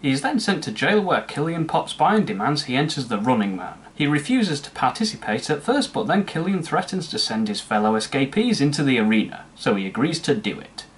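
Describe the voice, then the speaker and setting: A man's voice: mid-range voice, very animated, brisk, hardly any pauses, a little hiss or hum. A man narrating a comedic scripted film review with sarcastic, mocking asides. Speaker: movie reviewer.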